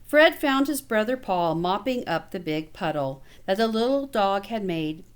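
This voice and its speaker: A woman's voice: medium pitch, smooth. A woman teacher reading a picture book aloud to children, expressively and with casual asides. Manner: expressive, pausing to comment to children.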